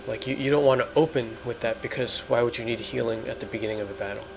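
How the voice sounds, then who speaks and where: A man giving halting, explanatory let's play commentary as host of a gaming video. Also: medium pitch, steady tone, creaky, noisy background.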